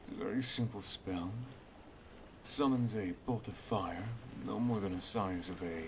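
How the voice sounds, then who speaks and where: A man playing a protective, affectionate wizard master in an ASMR roleplay, speaking in a hushed, gently instructive way that often slips toward a whisper. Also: on the low side, measured pace, slight background noise. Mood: earnest, cautioning. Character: protective, affectionate male wizard master teaching his apprentice and lover. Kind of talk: ASMR roleplay, intimate second-person monologue.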